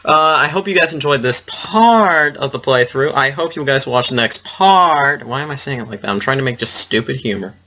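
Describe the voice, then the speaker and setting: A man's voice: medium pitch, expressive, moderate pace, few pauses, sharp tone, slight background noise. A man giving casual, rambling let's play commentary in an awkward, self-deprecating way, with game music behind him. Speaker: let's player.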